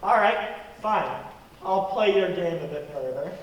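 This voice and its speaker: An actor playing a sceptical, easygoing young gentleman in a radio play. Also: high-pitched, expressive, a little slow. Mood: dry, sceptical. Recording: very echoey hall, distant mic.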